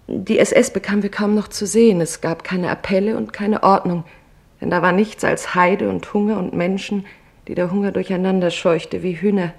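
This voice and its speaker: A performer playing a weary camp survivor with a gravelly, husky voice, giving terse, bleak testimony in a radio drama. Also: mid-range voice. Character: weary camp survivor recalling hunger and death.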